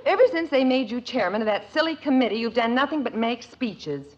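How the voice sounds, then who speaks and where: An actress playing an exasperated, strong-willed suburban wife and mother in a vintage radio sitcom, brisk, sarcastic and emphatic. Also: fairly high pitch, lively intonation. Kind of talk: 1950s radio sitcom acting. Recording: dull vintage radio broadcast.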